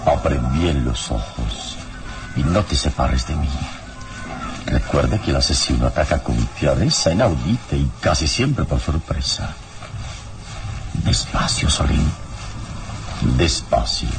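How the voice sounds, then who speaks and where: An actor playing a calm, heroic adventurer in an old radio serial, speaking solemnly and deliberately. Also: male voice, extremely low pitch, exaggerated voice. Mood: grave warning. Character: calm, heroic adult adventurer guiding his young companion. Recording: old radio drama, music behind.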